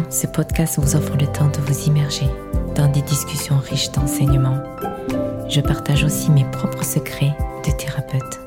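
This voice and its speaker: A woman hosting a podcast, reading a prepared introduction and interviewing a guest. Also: low-pitched. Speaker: podcast host.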